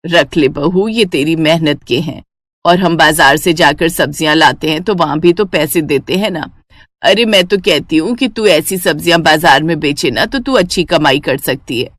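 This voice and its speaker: A woman's voice: sits low, normal speed, a bit bright, no room sound, voice pushed down. A woman voicing a stingy, wheedling middle-aged neighbour aunty in a cartoon story.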